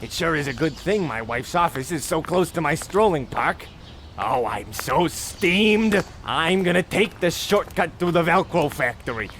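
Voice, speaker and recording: Man playing a high-pitched, blustery husband. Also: animated, a little slow, fairly bright tone, a little hiss or hum. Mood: indignant, determined. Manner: indignant, bombastic. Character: blustery, touchy, clueless husband, cranky and proud. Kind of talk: comic old-time radio play acting.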